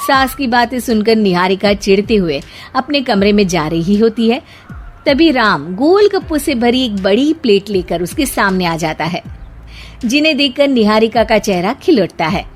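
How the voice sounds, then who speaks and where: A woman narrating a scripted cartoon family story in an expressive storytelling manner. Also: medium pitch, moderate pace, faint music behind.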